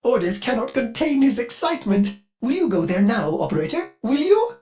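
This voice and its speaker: An actor voicing a servile, anxious-to-please ship artificial intelligence in a video game, polite, formal and theatrical. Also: male voice, very high voice, big pitch swings, moderate pace, darkish tone. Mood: excited. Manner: polite, formal, theatrical, speaks of himself in third person. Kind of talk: scripted video game character lines.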